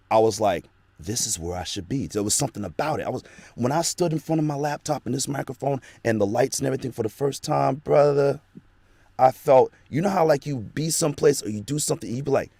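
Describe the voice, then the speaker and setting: A man's voice: fairly low pitch, dark tone, no echo. A man telling his story in a casual interview, earnest and rambling with lots of fillers, into a home studio microphone. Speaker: guest, actor and voice actor.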